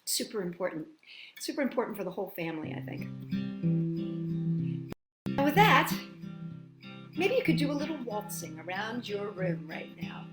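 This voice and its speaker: A woman hosting a storytime for children, speaking in an animated, expressive way straight to her young audience. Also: mid-range voice, moderate pace, pauses often, reverberant, loud music behind the voice.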